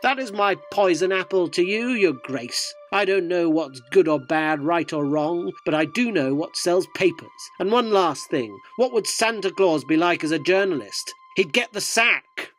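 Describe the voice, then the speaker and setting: A man's voice: high voice, animated, normal speed, few pauses, a bit bright, faint music behind. A man playing a cynical, self-loathing tabloid journalist in a radio drama, wry, wordy and theatrical. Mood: bitter ranting. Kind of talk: radio drama narration and dialogue.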